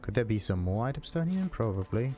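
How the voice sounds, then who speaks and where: A man's voice: lowish voice, sing-song, fast talker, dark tone, faint music behind. A man giving casual, rambling video game commentary as a let's play host, thinking aloud to himself.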